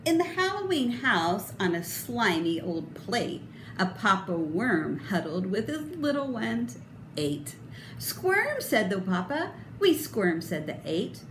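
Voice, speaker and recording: A middle-aged woman reads a picture book aloud for children in a theatrical, sing-song way. Her delivery is slow and deliberate, with big swings in pitch. The sound is echoey and indoors, with clear noise behind her. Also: very animated. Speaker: storybook reader. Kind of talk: expressive children's read-aloud. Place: indoor room. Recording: roomy echo, clear background noise.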